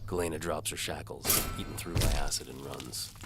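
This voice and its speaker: A man narrating an audio drama in the first person as a weary, cynical, deadpan hired crossbowman. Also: rumbling low, fairly quick, gravelly, over loud music. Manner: hard-boiled, deadpan. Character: down-on-his-luck hired crossbowman, weary and cynical, telling his story. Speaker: narrator and voice performer.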